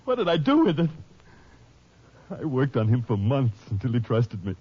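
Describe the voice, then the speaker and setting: An actor playing a desperate, cornered male killer in a 1950s radio drama, with a husky voice. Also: mid-range voice, wildly swooping pitch, moderate pace. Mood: distraught. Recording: dull old radio transcription.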